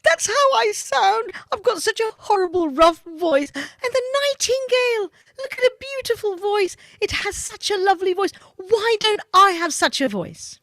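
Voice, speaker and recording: A sorrowful, whimpering peacock, voiced by a woman telling a children's story. The voice climbs high and swoops about as it pleads and sobs. The sound is close and dry, with no room around it. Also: animated, moderate pace, few pauses, fairly bright tone, dry recording, full character voice. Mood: weeping. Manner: whiny, tearful, high-pitched pleading. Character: sad, self-pitying male peacock who weeps.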